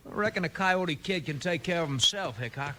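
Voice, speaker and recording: Sharp-voiced cocky young outlaw. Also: male voice, fairly high pitch, lively intonation, a little fast, slight background noise. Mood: defiant. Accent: American Western. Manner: brash, defiant, boastful. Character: cocky, reckless young male outlaw gunslinger. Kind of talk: 1950s radio western acting.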